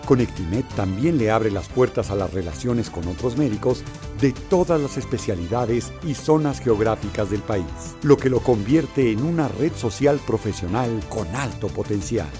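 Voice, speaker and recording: A man reading a scripted promotional voice-over in a smooth, polished way. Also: lowish voice, animated, normal speed, few pauses. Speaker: promo narrator. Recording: voice-over over clear music.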